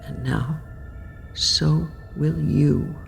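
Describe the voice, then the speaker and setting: An actress playing a solemn, ageless female keeper of death in a horror audio drama, gentle but resolute, with a gravelly, deliberate delivery. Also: low voice, steady tone, full character voice. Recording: faint music underneath.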